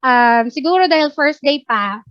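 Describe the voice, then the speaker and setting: A woman's voice: high voice, lively intonation, normal speed, dry recording, lo-fi sound. A woman trainer with a Filipino English accent, chatting casually as she teaches, mixing Tagalog and English, often checking that she's understood. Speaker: workplace communication trainer. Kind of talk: casual instructive training talk.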